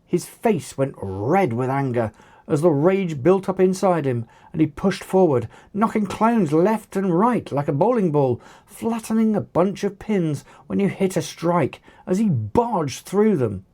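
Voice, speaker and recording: Animated storyteller with slow, creaky, mellow delivery. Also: mid-range voice. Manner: animated, dramatic storytelling with acted dialogue. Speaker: author narrating own book. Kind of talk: audiobook narration of children's action story.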